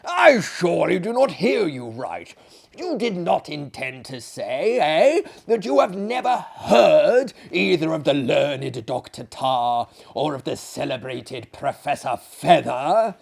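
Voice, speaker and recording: A man voicing a genial, pompous, slightly crazed French gentleman who runs a madhouse, emphatic and halting, in a theatrical storybook reading. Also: fairly high pitch, sing-song, gravelly, exaggerated voice. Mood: astonished. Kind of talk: theatrical audiobook character acting.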